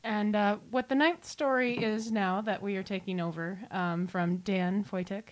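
Woman, medium pitch, podcast co-host, casual chat, playful, self-deprecating. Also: steady tone, normal speed.

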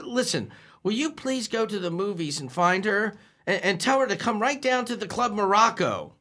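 A man's voice: sits high, sharp tone, exaggerated voice. An actor playing a blustering, flustered working-class man in a radio comedy, stammering and ungrammatical as he tries to act like a big sport.

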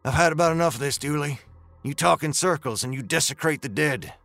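A man's voice: on the high side, fairly quick, gravelly, fairly bright tone, no echo. A man voicing a stern, blunt former Texas Ranger with a protective streak in a western audiobook. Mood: stern, fed up. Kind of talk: western audiobook character acting.

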